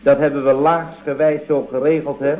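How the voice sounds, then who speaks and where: A man's voice: a little high, flat delivery, fairly quick, lightly acted. An actor playing a deferential employee in a vintage Dutch radio comedy, earnestly and hesitantly explaining and excusing things to his boss. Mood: matter-of-fact. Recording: dull, boxy vintage radio recording, roomy.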